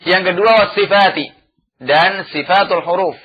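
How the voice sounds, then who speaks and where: A man teaching a religious lesson, chanting verses slowly and then explaining them with frequent "ya" fillers. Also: high voice, breathy edge, lo-fi sound. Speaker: Islamic teacher. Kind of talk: religious study lecture.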